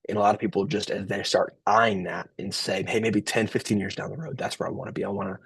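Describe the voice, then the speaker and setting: A man's voice: lowish voice, quick pace, gravelly, slightly rough, no echo. A young man with a head cold and a nasal voice, casually presenting a webinar over a video call. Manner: relaxed, filler-heavy, restarts. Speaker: webinar host.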